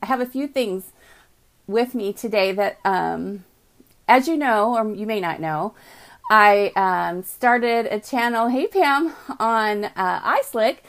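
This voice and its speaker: A woman hosting a live morning podcast from her home office, chatty and upbeat, greeting viewers by name, with a voice she calls calming, on a computer mic. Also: mid-range voice, slow pace. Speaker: podcast host. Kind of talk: casual live video chat.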